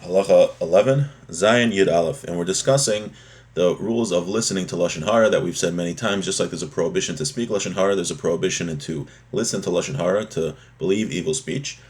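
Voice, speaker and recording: A man's voice: low-pitched, quick pace, darkish tone. A man giving an explanatory religious lesson, reading from a text and explaining it, repeating and rephrasing key points in a didactic way. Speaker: religious teacher.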